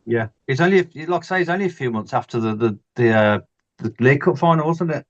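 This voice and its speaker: A man's voice: medium pitch, expressive, fast, no room sound. A man chatting casually as a football podcast panellist. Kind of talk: casual chat. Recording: dull, lo-fi microphone sound.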